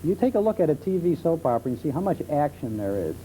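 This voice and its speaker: A middle-aged man being interviewed as a talk show guest, explaining things conversationally with hesitant pauses. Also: on the high side, sing-song, warm tone. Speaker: talk show guest, old-time radio enthusiast. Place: television studio. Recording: muffled 1970s television tape with hum.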